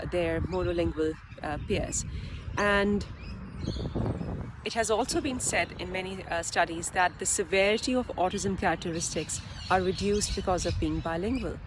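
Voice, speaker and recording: A woman who speaks English as a second language, giving explanatory advice as a video presenter in a measured, deliberate way with pauses mid-phrase. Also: on the low side, background noise. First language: a language other than English. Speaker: video presenter, parent advocate. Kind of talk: explanatory advice talk to camera.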